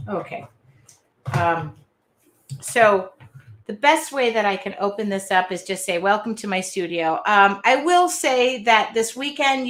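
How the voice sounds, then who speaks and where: A woman with a nasal Midwestern accent hosting an art journaling live stream from her art studio, talking in a loud, chatty, self-deprecating way. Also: mid-range voice. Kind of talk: casual live-stream chat.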